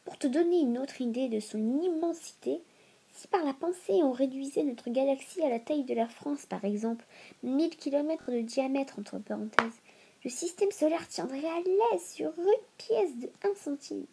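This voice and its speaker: A woman's voice: high voice, animated, normal speed, vocal fry, a little mellow. A woman with a stuffy nose from a cold hosting a casual educational video, reading aloud and breaking into informal asides as she stumbles over long words. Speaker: video host.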